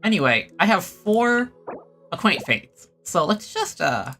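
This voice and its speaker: A game streamer chatting casually to viewers, rambling and low-key with a self-deprecating touch. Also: sing-song, measured pace, over music. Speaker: livestreamer playing a video game. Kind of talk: casual livestream chat and game commentary.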